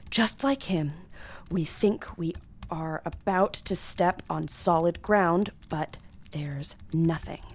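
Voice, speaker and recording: A woman's voice: fairly low pitch, slow pace. A woman acting a young filmmaker who narrates an imagined film scene in a dreamy, whispery voice for a stage play. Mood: dreamy, suspenseful. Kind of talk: dramatic scene description in a play.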